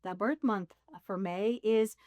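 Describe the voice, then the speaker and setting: A woman's voice: mid-range voice, fairly even intonation, takes it slowly, a little mellow, no echo, studio quality. A woman in her sixties sharing her faith story in a casual conversation, rambling and laughing at herself. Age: 60s. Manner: rambling, self-deprecating, laughs often. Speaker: guest.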